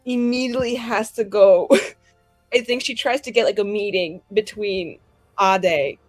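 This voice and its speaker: A woman's voice: medium pitch, normal speed, no room sound. A woman player telling her character's story at a tabletop game in a flippant, dramatic, slangy, sweary way. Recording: faint music behind.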